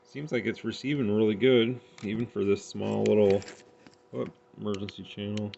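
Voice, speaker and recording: Male hobbyist reviewer, low creaky voice, tentative and informal. Muffled lo-fi home recording with faint noise. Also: little pitch movement, moderate pace, mellow. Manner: unpolished, tentative, thinking aloud. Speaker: CB radio hobbyist reviewing gear. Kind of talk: casual hands-on gear demonstration.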